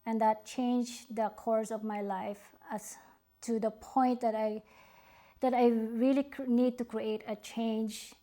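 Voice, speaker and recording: A middle-aged woman from the Philippines, a guest on an interview, speaking earnestly and haltingly. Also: a little high, level tone. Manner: slow, halting, earnest, soft-spoken. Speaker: author and guest. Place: studio.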